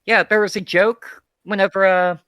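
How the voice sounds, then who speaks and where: A guest from Texas with a light, softened Texan twang speaks in a bright, crisp tone. The delivery is candid and opinionated but easygoing, like a relaxed home chat. The sound is dry and close to the mic. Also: medium pitch, moderate pace, dry recording. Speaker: guest, feed curator. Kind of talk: casual conversation.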